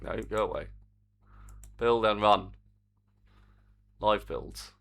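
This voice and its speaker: A man's voice: fairly low pitch, takes it slowly, creaky, a bit bright. A man streaming his game development work, chatting to viewers in a rambling, self-mocking way. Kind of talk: casual live-stream commentary while coding.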